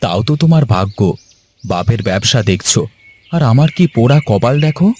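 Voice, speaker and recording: A man's voice: medium pitch, expressive, brisk, a little mellow, slight background noise, lightly acted. A man voicing a poor, quarrelsome younger son in a cartoon, speaking in a complaining, bickering way. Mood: wary. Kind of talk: cartoon voice acting.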